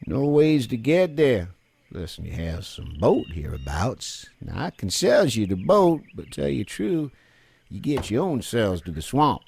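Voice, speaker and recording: Gravelly old fisherman. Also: mid-range voice, big pitch swings, measured pace, darkish tone, full character voice. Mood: wily, reluctant. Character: folksy old swamp fisherman named Champagne. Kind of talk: video game character acting.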